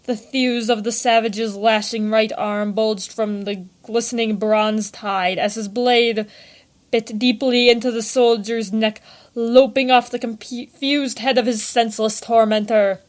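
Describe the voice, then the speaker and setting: A woman's voice: fairly high pitch, level tone, a little slow. A woman narrating a story aloud in a theatrical way, savouring the florid prose.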